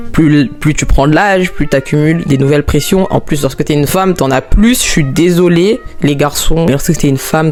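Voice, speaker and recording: Vlogger, woman, mid-twenties, chatty and expressive, soft music under her voice. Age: 20s. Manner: chatty, expressive, swoops up high when excited. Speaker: YouTuber. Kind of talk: casual vlog talk to camera.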